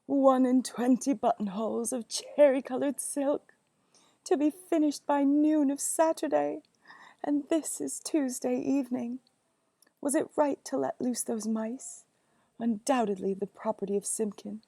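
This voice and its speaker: A woman's voice: high voice, a little slow, gravelly, dark tone, no room sound, voice pushed up, full character voice. A woman reading aloud, voicing an anxious old male tailor who laments in old-fashioned speech. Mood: fretful. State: feverish in parts.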